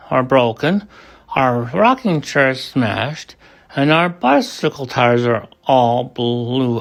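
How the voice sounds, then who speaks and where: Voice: mid-range voice, vocal fry, pitched below the performer's own voice, heavily acted. A storybook reader voicing a tiny, worried mayor of little folk in a plaintive, pleading way.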